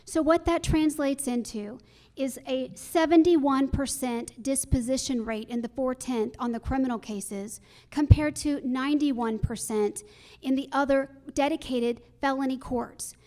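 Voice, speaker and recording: A woman with a clearly high-pitched voice speaks as a judicial candidate at a forum. Her answers are measured and explanatory, laid out point by point. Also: dark tone.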